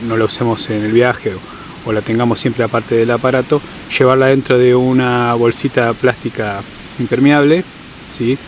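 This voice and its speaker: A man's voice: mid-range voice, low-quality recording. A man giving an informal, didactic tutorial, often checking understanding with short questions. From Argentina. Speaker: outdoor guide giving a tutorial. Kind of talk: informal instructional explanation. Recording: clear steady ambient background noise.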